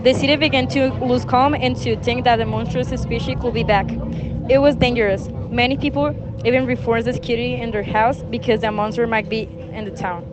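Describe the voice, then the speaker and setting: A woman reading a scripted story narration in a halting way, stumbling over words. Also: steady tone. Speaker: student narrator of a class radio drama. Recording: noisy recording with background rumble.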